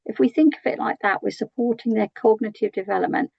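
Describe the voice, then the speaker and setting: A British woman with a croaky voice giving an early years training talk in a chatty way, with many tag questions and ums. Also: on the high side, quick pace, no echo, highs cut off. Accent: British English. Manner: conversational, asks tag questions, frequent ums.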